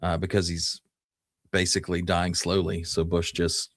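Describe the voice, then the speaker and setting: A man hosting a podcast, telling Western history in a conversational, somewhat halting way. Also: sits low, completely flat delivery, no room sound, clean studio sound. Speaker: podcast host.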